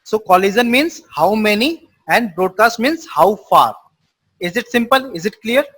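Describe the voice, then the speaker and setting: Instructor type: husky man, high voice, unhurried, repeats each definition and quizzes the class. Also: expressive, a bit bright. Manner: explains slowly with repeated simple definitions, quizzes students. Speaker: networking instructor. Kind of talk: live online class.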